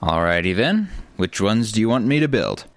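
A man's voice: lowish voice, sing-song, normal speed, dry recording. A man acting in a family radio comedy as an eager, helpful brother full of inventive ideas. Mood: matter-of-fact, eager.

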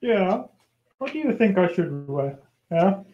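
A man's voice: high-pitched, very animated, measured pace, mellow, highs cut off. An actor playing a vain, resentful Persian nobleman in an amateur radio play. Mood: preening. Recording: faintly noisy amateur recording.

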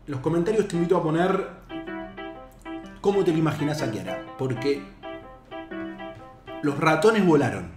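A man with a Buenos Aires accent plays the prank-call host. His delivery is animated and teasing, leaping up and down in pitch. The room rings a little and light music runs underneath. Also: normal speed, long pauses, reverberant. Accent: Buenos Aires Spanish. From Buenos Aires, Argentina. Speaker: prank caller, YouTube host. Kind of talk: playful prank phone call banter. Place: small home room.

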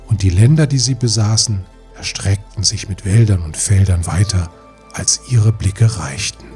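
A man's voice: lowish voice, darkish tone. A man reading a scripted fairy tale as an audiobook narrator. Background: music.

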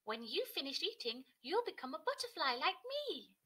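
A woman's voice: high voice, big pitch swings, normal speed, pushed and bright, voice pushed up, exaggerated voice. A woman voicing a happy, proud female butterfly in a children's storybook, delighted and emphatic. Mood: encouraging.

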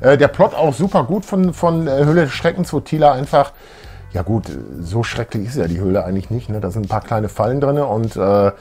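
A middle-aged man with a slightly rough, husky voice chats as co-host in a small room. He is eager and informal, with faint music now and then. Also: medium pitch, animated, a little fast, a little mellow. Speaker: co-host of a retro fan show. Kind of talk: casual chat. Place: small indoor room with collectibles.

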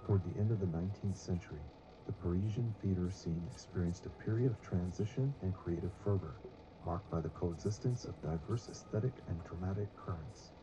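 A man with a deep voice narrates a documentary from a script. His delivery is formal and measured. The recording is dull, with noticeable noise behind him.

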